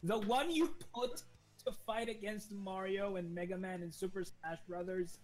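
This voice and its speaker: A young man in a casual gaming chat, excitable, stammering and restarting when heated. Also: high-pitched, moderate pace, soft background music.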